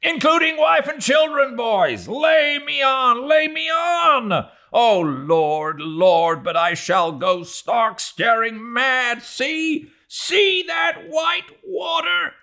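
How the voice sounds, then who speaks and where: A man voicing a small, loud whaleboat officer in an audiobook, roaring at his rowers in wild excitement. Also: very high-pitched, slow pace, gravelly, few pauses, voice pushed up, heavily acted. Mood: frenzied exhortation. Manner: roaring, wildly excited exhortation. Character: small, loud, frenzied whaling boat officer urging rowers. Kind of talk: dramatic audiobook character voice.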